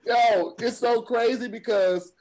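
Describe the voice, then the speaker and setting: Teasing man with a high voice. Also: fairly even intonation, takes it slowly, darkish tone, poor audio. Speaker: panelist. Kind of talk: casual group chat. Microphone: video call.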